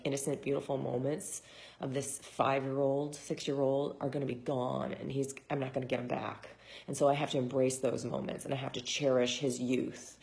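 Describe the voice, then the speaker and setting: A woman in her thirties, hoarse from shouting, chatting casually to her followers in a rambling way full of fillers. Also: extremely low pitch. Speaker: vlogger and mom. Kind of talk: casual chat to camera.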